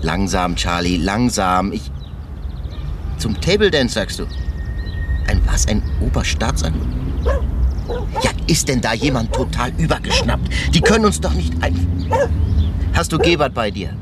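Genial police inspector, very noisy outdoors. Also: male voice, mid-range voice, sing-song, a little slow, long pauses. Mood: flustered, agitated. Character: genial, absent-minded male police inspector, easily flustered. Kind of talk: German radio crime drama acting. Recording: very noisy outdoor location, dogs around.

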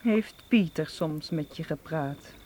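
A woman's voice: low voice, slow. An actress playing an anxious, impulsive young woman in a radio drama, speaking in an earnest, defensive way. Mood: startled, defensive. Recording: clear noise, outdoor night insect ambience. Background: chirping crickets.

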